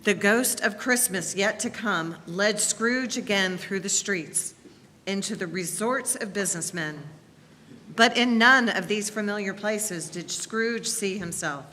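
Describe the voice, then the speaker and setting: Female narrator for a staged reading, unhurried and expressive, in a reverberant hall with faint hum. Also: mid-range voice. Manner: deliberate, expressive storytelling. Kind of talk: dramatic scripted narration.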